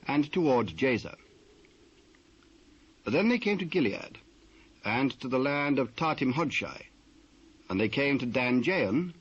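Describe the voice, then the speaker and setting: A man with a British accent narrating dramatised scripture in a measured, formal manner. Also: mid-range voice, breathy edge. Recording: muffled old cassette transfer.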